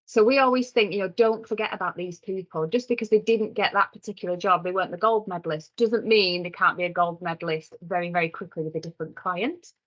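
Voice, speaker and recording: A woman's voice: on the low side, steady tone, fast, few pauses, fairly bright tone. Woman trainer, slightly lo-fi sound. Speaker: software trainer co-presenting a webinar. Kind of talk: conversational instructional presentation. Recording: slightly dull lo-fi webinar audio.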